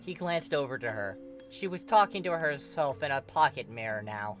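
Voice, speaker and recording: A man narrates scripted fan fiction in a middling pitch. His storytelling is casual and salty, and music plays clearly behind his voice. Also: lively intonation, normal speed, a bit bright.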